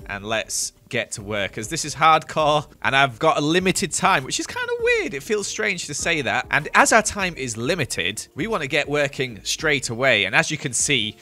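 A young man chatting through a gaming playthrough, jokey, with excitable outbursts. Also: a little high, normal speed, hardly any pauses, faint music behind. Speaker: gaming youtuber. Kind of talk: playthrough commentary.

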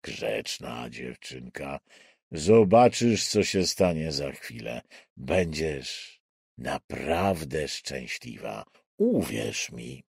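A man voicing a calm killer in an audiobook thriller, stern, coaxing and coldly patronizing. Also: rumbling low, gravelly, slightly rough, no room sound, clean studio sound, voice pushed down, full character voice. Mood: soothing menace. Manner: slow, stern, softly coaxing.

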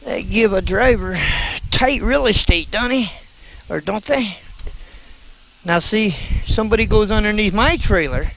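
A man's voice: sits high, expressive, a little slow, creaky, a bit bright, slight background noise. An older man who drives trucks, chatting casually to his viewers from inside his cab through a headset while he backs into a loading dock. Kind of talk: casual running commentary while driving.

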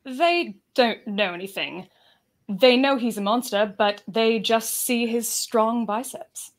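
A woman's voice: on the high side, takes it slowly. A woman reading a character's part at a live table read over a video call.

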